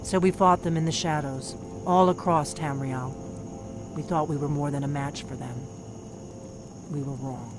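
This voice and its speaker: A woman's voice: steady tone, unhurried. A woman voicing a secretive, middle-aged veteran of an old order of guards, speaking in a blunt, conspiratorial video game dialogue. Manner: blunt, conspiratorial, low intense delivery. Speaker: game character. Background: game music.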